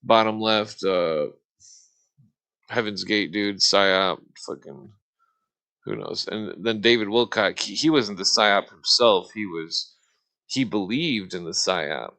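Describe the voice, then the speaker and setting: Bright, low-voiced man chatting casually. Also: takes it slowly, vocal fry. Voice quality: smoker's cough. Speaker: livestream host. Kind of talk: casual livestream chat.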